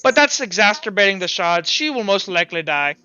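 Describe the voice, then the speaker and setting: A man's voice: high voice, big pitch swings, brisk, pushed and bright, clean tone, dull audio, soft background music, pitched above the performer's own voice, exaggerated voice. A man voicing a patronizing older doctor in a tabletop game, dismissive and falsely soothing. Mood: coolly clinical. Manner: pompous, patronizing, addresses the young as dear boy.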